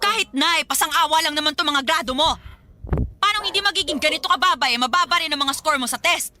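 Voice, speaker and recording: A woman's voice: high voice, quick pace, slight background noise. A woman playing a harsh, sarcastic Filipino mother who nags and belittles in a Tagalog radio drama. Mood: furious, belittling. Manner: sharp, sarcastic, nagging. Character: harsh, scolding, belittling mother of a teenage girl.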